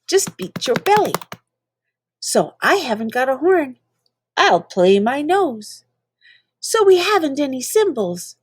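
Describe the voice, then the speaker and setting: A woman's voice: high-pitched, breathy edge, dry recording, studio quality. A woman reading children's poetry aloud in a playful, theatrical manner, drawing out words for emphasis. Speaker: reader of children's poems.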